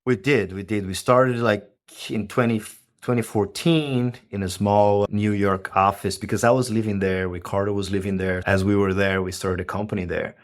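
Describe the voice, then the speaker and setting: A man in his forties from Brazil with a Brazilian accent and a Portuguese first language, explaining his business as a podcast guest in a measured way, often checking with "right?". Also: on the low side, fairly even intonation. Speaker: guest, company co-founder. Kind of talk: podcast interview.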